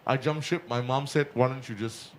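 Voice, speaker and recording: A man's voice: medium pitch, moderate pace, slight background noise. A young Singaporean man with a Singaporean English accent whose mother tongue is Punjabi, speaking emphatically on a community panel. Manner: animated, emphatic, repeats points. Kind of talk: casual panel chat.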